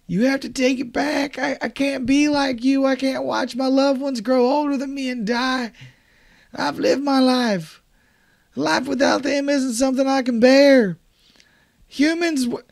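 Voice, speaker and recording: A man voicing a desperate old woman who pleads with a monster, rising to shouts. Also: very high voice, gravelly, pitched above the performer's own voice, exaggerated voice.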